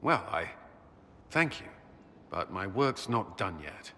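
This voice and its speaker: A resolute fantasy game commander with a forceful, bright edge and a lowish pitch that moves freely. He is earnest and formal. His voice rings in a long hall reverb. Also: very animated, slow pace, a little hiss or hum, exaggerated voice. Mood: earnest pride. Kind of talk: video game cutscene acting.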